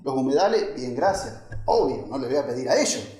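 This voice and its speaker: A man's voice: medium pitch, very animated, fairly quick, a little mellow, faint music behind. A man giving an informal lecture in conversational, fragmented phrases, often checking in with listeners. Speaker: architect giving a talk. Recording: echoey room.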